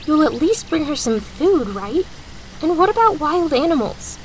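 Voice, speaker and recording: A woman's voice: sits high, sing-song, moderate pace, slight background noise, rough recording. An actress playing a worried young woman apprentice who protests with anxious, pleading questions. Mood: protesting. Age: young adult.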